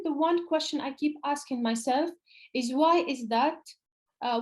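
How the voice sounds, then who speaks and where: A woman with a high voice moderates a question session over a video call. She reads out audience questions in a friendly, accommodating tone. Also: a little mellow, poor audio. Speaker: event coordinator and moderator. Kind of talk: moderating a Q&A, reading chat questions aloud.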